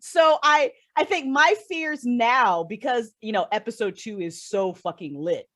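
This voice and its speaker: A woman's voice: a little high, a little slow, a bit bright. A woman being interviewed as a creative guest, talking casually and candidly in a rambling, emphatic way. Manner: rambling, emphatic, freely swearing. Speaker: guest, audio drama writer and creator.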